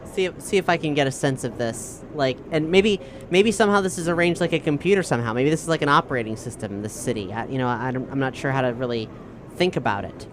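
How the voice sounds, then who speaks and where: A man's voice: mid-range voice, fast talker, noisy background. A man playing a tabletop roleplaying game, talking casually and tentatively as he thinks aloud and repeats words. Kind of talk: casual improvised game discussion. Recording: music clearly audible behind voice. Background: background music.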